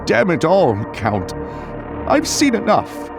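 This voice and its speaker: A man's voice: sits high, wildly swooping pitch, takes it slowly, gravelly, music in the background, full character voice. A man playing a desperate, fearful ancient emperor who pleads and frets in a dramatic audio story. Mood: agitated. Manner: pleading, agitated.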